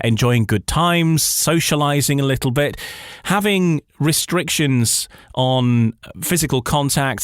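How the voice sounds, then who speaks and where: A man hosting a conversational radio interview, putting long, measured, discursive questions to a guest. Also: medium pitch, no echo, studio quality.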